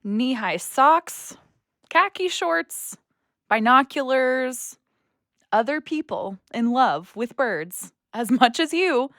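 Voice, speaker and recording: Female, bright-pitched and very lively, casual grown daughter in an audio play, close dry sound. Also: slow pace, no room sound, full character voice. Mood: playful, teasing. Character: casual, teasing grown daughter, hesitant new clinic assistant. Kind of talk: naturalistic audio drama acting.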